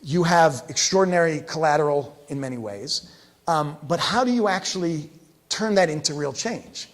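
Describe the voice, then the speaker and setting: Higher-voiced man presenting in a reverberant hall. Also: expressive. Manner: halting, self-interrupting, often ends on checking questions. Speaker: conference organizer and host. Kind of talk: informal live presentation to a group. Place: indoor event room in front of a live group. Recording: echoey hall with long reverb.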